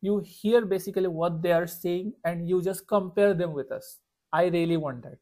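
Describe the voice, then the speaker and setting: A young man from Bangladesh with a Bangladeshi accent, a freelance ad marketer earnestly pitching his services to a client on a call. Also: normal speed, darkish tone. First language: Bengali. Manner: earnest, reassuring, fillers of "you know". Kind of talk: sales call.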